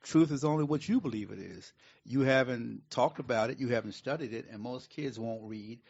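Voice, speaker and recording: A man's voice: mid-range voice, fairly quick, gravelly, darkish tone, slightly rough. A man on a talk radio panel, casually telling anecdotes and explaining his views in a conversational, somewhat preachy way. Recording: dull lo-fi radio recording.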